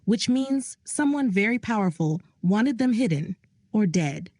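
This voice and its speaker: Woman doing a mafia boss man, calm, measured, commanding, dry close sound. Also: medium pitch, no echo. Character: controlled male mafia boss, calm and steady. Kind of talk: audio drama reading.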